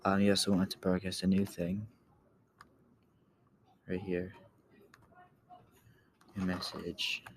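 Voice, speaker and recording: A man with a low voice walks through a casual screen-recorded tutorial. He speaks slowly with little melody, halting and trailing off as he thinks aloud. There is background noise with keyboard clicks behind him. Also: monotone. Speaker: tutorial host.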